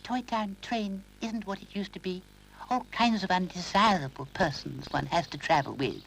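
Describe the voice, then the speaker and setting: A man's voice: normal speed, gravelly, a bit bright, slight background noise, poor audio. A man voicing a prissy, complaining female toy doll. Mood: sniffy. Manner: shrill, sniffy, indignant.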